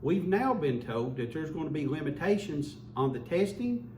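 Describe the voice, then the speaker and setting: A man's voice: fairly high pitch, sing-song, moderate pace, a little mellow. A man giving an earnest public health update as a local official, often repeating words as he restarts. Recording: echoey room, mic far off, faint hum.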